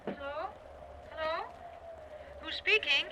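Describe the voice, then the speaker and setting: A woman's voice: high voice, slow pace. A woman playing a cool, teasing estranged wife in an old-time radio suspense drama. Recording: muffled, noisy old radio recording.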